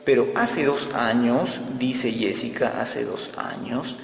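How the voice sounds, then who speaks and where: Male math teacher, unhurried and gravelly, repeats key steps; recorded in a reverberant room. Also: medium pitch. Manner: slow, repeats key phrases while explaining. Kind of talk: step-by-step algebra lesson. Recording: echoey room with long reverb.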